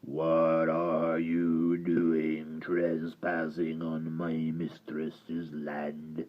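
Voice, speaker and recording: A man doing a deep, gravelly swamp creature. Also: completely flat delivery, full character voice. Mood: menacing. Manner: slow, low and menacing, half-whispered. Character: sinister gravel-voiced male water creature, cold. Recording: dull lo-fi recording.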